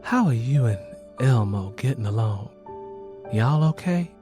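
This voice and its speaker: A man's voice: mid-range voice, expressive, measured pace, natural voice. A man voicing an aging, devout grandmother, stern but caring, with a Southern US accent in an audiobook. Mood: probing, concerned. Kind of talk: audiobook character dialogue. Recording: rain and music behind the voice.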